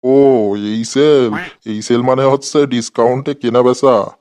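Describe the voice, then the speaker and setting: A man's voice: mid-range voice, steady tone, a little slow, a little mellow, lightly acted. A man voicing an exasperated, sarcastic male schoolteacher in a comedy cartoon, scolding in colloquial speech. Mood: patient, explaining. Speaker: cartoon voice actor.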